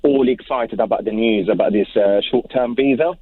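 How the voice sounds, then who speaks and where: A man's voice: medium pitch, normal speed, crowd noise. A man calling in to a radio show over the telephone, hesitant and full of ums and uhs. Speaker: caller. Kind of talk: phone-in call. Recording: tinny phone line.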